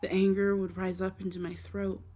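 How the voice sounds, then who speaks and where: Woman playing a soft, mellow, lonely dark fae. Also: mid-range voice, flat delivery, moderate pace, natural voice. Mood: wistful, sorrowful. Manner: tender, hesitant, apologetic, formal storybook phrasing. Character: gentle, lonely, abandoned female dark fae healer. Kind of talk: ASMR roleplay, softly spoken to the listener. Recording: faint background noise.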